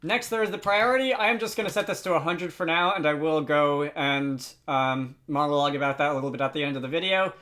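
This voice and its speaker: A man narrating a software tutorial in a casual, informal way, pausing often as he explains. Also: fairly high pitch. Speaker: tutorial host.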